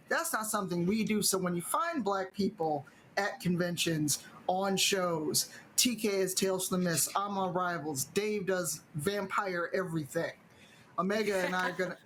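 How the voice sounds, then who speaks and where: A woman's voice: mid-range voice, fairly bright tone, a little hiss or hum, low-quality recording. A middle-aged woman speaking conversationally on a video call panel, making wry points with asides. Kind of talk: panel discussion.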